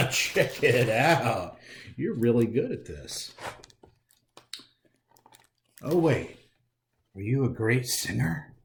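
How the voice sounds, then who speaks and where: A man's voice: mid-range voice, sing-song, slow, vocal fry, a little hiss or hum. An American man hosting a storytime, reading a picture book aloud in a theatrical way and talking directly to young listeners. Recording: roomy, echoey indoor sound.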